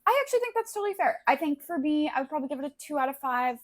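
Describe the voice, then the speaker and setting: Slightly high-voiced, agreeable young woman. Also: very animated, very fast. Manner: hedging, agreeable, slangy. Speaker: podcast co-host. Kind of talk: casual book-review chat.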